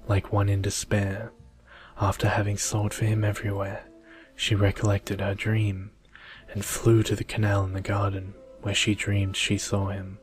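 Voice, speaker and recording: A man reading a bedtime story in a soft, hushed, gentle and measured ASMR style. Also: lowish voice.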